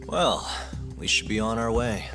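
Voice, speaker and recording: Cool investigator, sardonic, lowish voice, slow pace, loud music behind. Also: male voice, animated. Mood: polite. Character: cool, dry-witted male investigator, composed and sardonic. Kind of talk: English video game dub acting.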